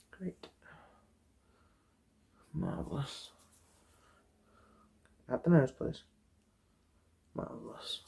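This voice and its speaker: A person whispering softly in an ASMR eye doctor roleplay, giving gentle, polite, reassuring instructions in a breathy voice. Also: mid-range voice.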